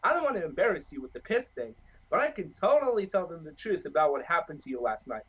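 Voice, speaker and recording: A man with a high, bouncy voice that swings all over, crude and joking as he spins a wild story. His tone is breathy and husky. It comes through a dull, lo-fi mic. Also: big pitch swings, fast talker, fairly bright tone. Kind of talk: rowdy casual chat.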